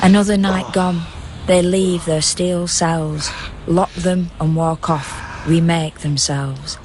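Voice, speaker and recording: A woman's voice: lowish voice, fairly even intonation, slow pace, noisy background. A woman playing a tender, watchful dead young woman who narrates in lyrical, incantatory verse in a radio drama. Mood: lyrical, observant.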